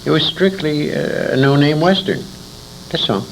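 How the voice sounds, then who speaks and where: Older American man, gravelly, animated intonation, assured, reminiscing, clearly noisy amateur tape with hum. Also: a little high, moderate pace. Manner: emphatic, assured, corrects the interviewer. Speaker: interviewee, former radio actor. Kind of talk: reminiscing in an interview. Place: convention interview recording.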